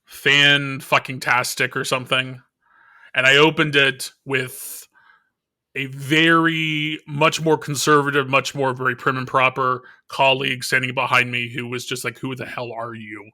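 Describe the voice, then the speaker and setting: A man hosting a casual interview podcast, relaxed, tossing out quick questions. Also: mid-range voice. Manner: relaxed, tosses quick rapid-fire questions. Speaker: podcast host.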